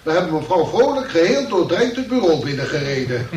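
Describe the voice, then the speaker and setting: A man's voice: on the high side, very animated, fairly quick, a little mellow, far from the mic. A man acting a polite, literal-minded police inspector who makes apologetic objections in a comic radio play. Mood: respectful objection. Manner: polite, apologetic, dryly correcting. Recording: echoey, noisy room, off-mic.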